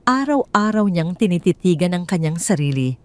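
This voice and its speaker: A woman with a Filipino accent reads a sentimental drama story as a storyteller, in a measured, solemn manner. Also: fairly low pitch, very animated, no echo.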